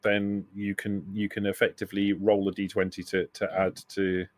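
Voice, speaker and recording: Male game master, low voice, hesitant explainer, dry close sound. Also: completely flat delivery, moderate pace, no echo. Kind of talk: narrating a tabletop roleplaying game.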